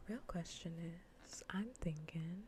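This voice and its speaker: A woman livestreamer chatting casually in ASMR style while gaming, soft, breathy and often whispering, with a playfully scolding manner. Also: on the low side, a little slow, a little hiss or hum.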